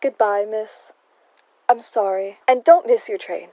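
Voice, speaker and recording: A woman's voice: mid-range voice, a little slow, dark tone, dry recording, close to an everyday voice, rough recording. A young woman acting an uneasy, plain-spoken housemaid in an audio stage play, folksy and plaintive. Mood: subdued, regretful. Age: young adult.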